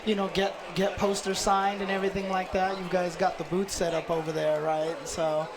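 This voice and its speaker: A man's voice: high-pitched, completely flat delivery, moderate pace. An American man doing a casual on-camera interview, chatty, eager and a little rambling, as the interviewer. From Los Angeles, United States. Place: busy gaming event hall. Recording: loud convention crowd behind.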